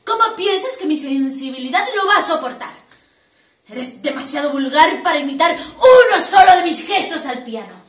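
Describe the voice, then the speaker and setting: A woman's voice: high voice, animated, normal speed. A young woman acting on stage as a resentful, wounded adult daughter, intense and accusatory. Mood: scornful, bitter. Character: wounded, resentful adult daughter pouring out bitterness to her mother. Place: small dim theatre set indoors. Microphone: far camera. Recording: roomy echo, distant camera microphone.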